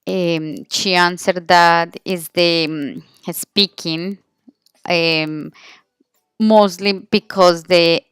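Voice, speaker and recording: A woman's voice: on the low side, fairly even intonation, extremely slow, fairly bright tone, clear, resonant tone, no room sound, clean studio sound. A woman with a Colombian Spanish accent gives an informal class presentation in English as a second language, searching for words and correcting herself. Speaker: language student presenting an interview.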